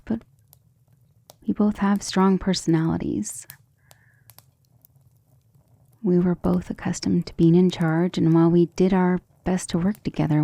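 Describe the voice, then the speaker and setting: A woman voicing a centuries-old vampire lover in an ASMR romantic roleplay, soft, breathy and often whispering, intimate and gentle, with formal wording. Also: lowish voice, dry recording. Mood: wistful. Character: centuries-old female vampire lover, tender, protective, self-assured.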